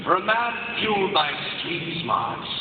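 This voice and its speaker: An actor playing a sly, treacherous alien rogue, condescending and theatrical, in a live stage audio drama. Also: male voice, high-pitched, lively intonation, unhurried. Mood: smug, mocking. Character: smug, treacherous male alien rogue, coolly mocking. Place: very large arena stage. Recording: echoey arena, distant, tinny, very noisy.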